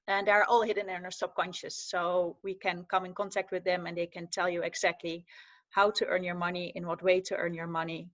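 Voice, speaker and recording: A woman's voice: medium pitch, fairly bright tone. A woman from the Netherlands, co-host of the show, explaining earnestly with a few fillers. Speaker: co-host and co-creator of a card deck. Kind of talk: casual talk-show chat. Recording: dull lo-fi sound.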